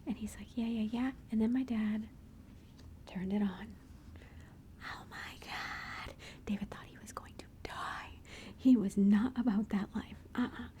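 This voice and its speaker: Gushing mother whispering softly. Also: medium pitch, steady tone, measured pace. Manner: soft whisper throughout; gushing, affectionate, chatty. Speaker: mother showing family photos. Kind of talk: whispered ASMR photo show-and-tell.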